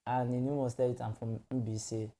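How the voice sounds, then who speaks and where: A man's voice: mid-range voice, little pitch movement, measured pace, warm tone. A young man from Nigeria with a Nigerian accent, a guest telling stories casually, full of fillers and laughs. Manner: chatty, many "you know" fillers, laughs often. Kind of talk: casual storytelling interview.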